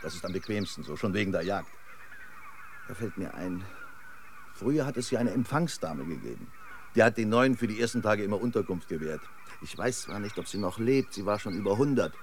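An eccentric tour guide of a crumbling city in a German radio play. His lowish voice swings widely as he rambles on with quirky, effusive hospitality. There is faint noise behind him. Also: lively intonation, fairly quick. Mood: wistful, hospitable. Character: chatty eccentric male local guide in a decayed city. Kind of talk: German radio drama acting.

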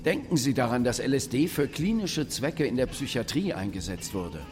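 An actor playing a matter-of-fact commentator, explanatory and factual, in a documentary-style radio play. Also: male voice, mid-range voice, very animated, moderate pace, darkish tone, natural voice. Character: matter-of-fact male commentator on a band's history. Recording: music behind the voice.

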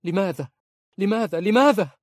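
A man voicing a grieving young princess in an audiobook, his voice raised high and strained as she cries out. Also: animated, a little fast, no room sound. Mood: anguished.

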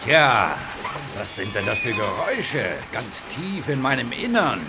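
An actor playing a proud, pompous big express steam engine in a radio play. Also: male voice, medium pitch, big pitch swings, unhurried, over loud music. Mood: worried. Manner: pompous, later worried. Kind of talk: German radio play acting.

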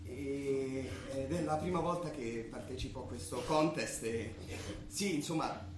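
An actor playing a bashful, pretentious young amateur poet in a stage comedy, halting and self-conscious. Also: male voice, medium pitch, close to an everyday voice. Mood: awkward, nervous. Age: young adult. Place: theatre stage. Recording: echoey theatre recording, faint noise.

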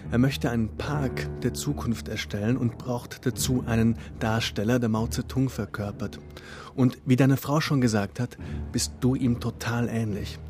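A man with a medium-pitched voice narrates a radio drama, addressing the listener directly. His storytelling flows along descriptively, with music faintly audible behind him. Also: fairly even intonation, moderate pace. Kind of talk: second-person radio play storytelling. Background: faint instrumental music.

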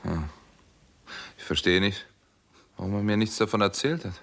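An actor playing an earnest doctor turned sleuth in a 1960s German radio crime drama, measured and matter-of-fact. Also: male voice, on the low side, vocal fry, a bit bright. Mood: puzzled. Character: earnest American doctor and amateur sleuth, narrating his case.

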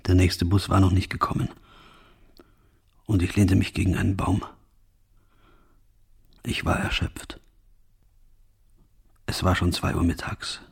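An actor playing a guilt-ridden, exhausted soldier of a firing squad in a radio play, with a husky voice and grave, halting, restrained delivery. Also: male voice, low voice, a little mellow, exaggerated voice. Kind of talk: scripted first-person radio play monologue.

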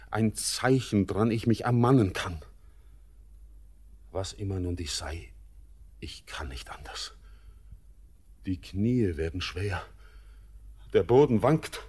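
Slow, anguished young sailor. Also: male voice, on the low side, breathy edge, heavily acted. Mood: desperate pleading. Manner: solemn, theatrical, impassioned declamation. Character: anguished young orphaned sailor, awed and fearful. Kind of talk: classic German radio verse drama, declaimed.

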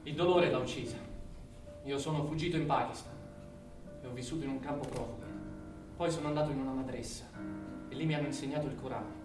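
An actor playing a devout, earnest young Taliban suitor in a stage drama, speaking solemnly. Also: male voice, medium pitch, fairly even intonation, quick pace, long pauses, lightly acted. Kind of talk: theatrical stage drama acting. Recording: echoey distant stage recording over guitar music.

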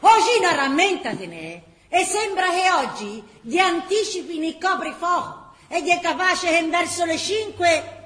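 An actress playing an agitated, chatty Florentine neighbour with urgent, colloquial delivery in radio theatre. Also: female voice, very high voice, animated, a little slow, fairly bright tone, full character voice. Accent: Florentine Tuscan. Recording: roomy stage echo.

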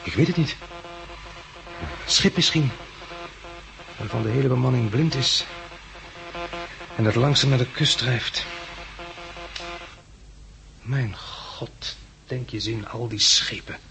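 An actor in a 1960s Dutch radio drama playing a practical, grimly realistic male survivor, matter-of-fact and thinking aloud with hesitant pauses. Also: medium pitch, creaky, crowd noise. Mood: grim, uneasy. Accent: Dutch, from the Netherlands.

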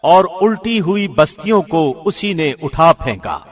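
A man reading a scripture translation from a script, in a formal, measured radio presenter's delivery. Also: fairly high pitch, lively intonation.

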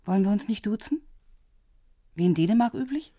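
Cool, husky female police inspector. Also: mid-range voice, lively intonation, fairly quick, mellow, no echo, studio quality. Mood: polite, probing. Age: 40s. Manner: matter-of-fact, businesslike. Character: Danish female police inspector in her forties, cool, self-assured. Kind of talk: radio crime drama dialogue.

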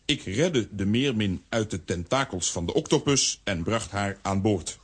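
An actor playing a simple-minded strongman who speaks in gruff, clipped phrases, in a children's radio play. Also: lowish voice, expressive, measured pace. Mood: reading aloud. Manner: clipped short sentences, refers to himself by name. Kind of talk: Dutch-language children's radio play acting.

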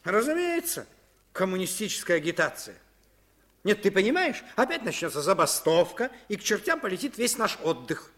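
An irritable, opinionated father in an old radio play. His voice is high, bright and sharp, and it swings widely as he grumbles and blusters. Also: very animated, normal speed. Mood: indignant. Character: middle-aged French father, irritable and opinionated. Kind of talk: 1950s radio play acting.

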